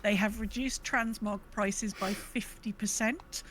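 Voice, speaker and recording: A woman's voice: medium pitch, fairly even intonation, a little slow, fairly bright tone, slight background noise. A woman co-hosting a podcast in a casual, chatty way. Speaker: podcast co-host. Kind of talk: casual gaming podcast chat.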